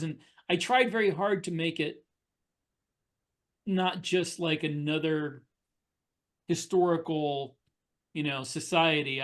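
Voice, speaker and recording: Guy author on a podcast, voice a bit high, casual, kinda halting as he thinks it through. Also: fairly bright tone. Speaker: podcast co-host and science fiction author. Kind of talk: casual discussion of fiction writing.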